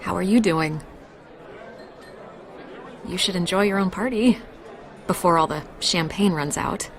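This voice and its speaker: A woman's voice: lowish voice, measured pace, a faint crowd. An actress playing a poised, inquisitive young woman in a video game. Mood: friendly, teasing.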